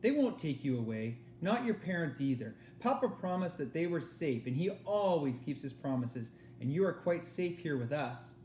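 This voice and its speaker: A man's voice: on the high side, sing-song, moderate pace, hardly any pauses, a little mellow, roomy sound, slight background noise, pitched above the performer's own voice. A man voicing an earnest, reassuring young girl confiding in her best friend in a storybook reading.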